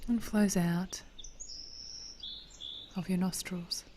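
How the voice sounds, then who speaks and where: A woman leading a guided meditation in a gentle, soothing, hushed voice, with phrases trailing into breath. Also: on the low side, steady tone, very slow, noisy background.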